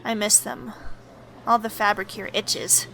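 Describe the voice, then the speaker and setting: A woman playing a timid, tired young male skeleton in an audio drama, gentle, polite and hesitant. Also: medium pitch, fairly even intonation, measured pace, background noise, natural voice. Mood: timid, weary.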